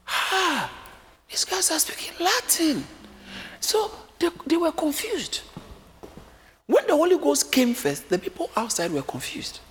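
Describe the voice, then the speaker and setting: A man preaching a sermon, lively and often acting out the voices of a crowd, joking with his congregation. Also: very high-pitched, a little slow. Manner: animated, acts out crowd voices, jokes with congregation. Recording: echoey hall with faint room noise.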